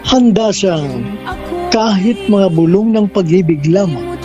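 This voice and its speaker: Male narrator, high register, sentimental and measured poetic radio script, music underneath. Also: expressive.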